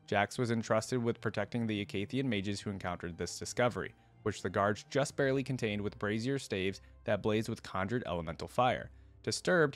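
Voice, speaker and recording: A man's voice: lowish voice, dry recording. A man hosting a reaction video, informally thinking aloud and reading written passages out in a casual commentary style. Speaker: host of a reaction channel.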